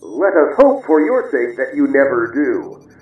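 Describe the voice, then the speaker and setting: An actor playing a stern, menacing telescreen voice in a radio drama, barking orders like an interrogator. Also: male voice, medium pitch, big pitch swings, unhurried, phone-quality sound. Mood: coldly menacing. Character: menacing, commanding telescreen voice of the Thought Police. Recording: echoey distant radio-like sound, music underneath.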